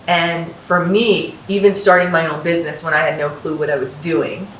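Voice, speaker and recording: Woman, encouraging mentor, low voice, roomy echo, faint hiss, distant mic. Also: fairly bright tone. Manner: upbeat, encouraging, storytelling. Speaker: host and company founder. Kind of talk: casual podcast chat, mentoring students. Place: house in North Las Vegas, room with echo.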